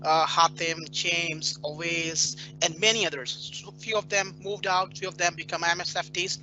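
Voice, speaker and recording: A man from Pakistan introducing himself on a video call, friendly and relaxed. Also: a little high, moderate pace, a little hiss or hum. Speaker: guest. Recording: dull video call audio.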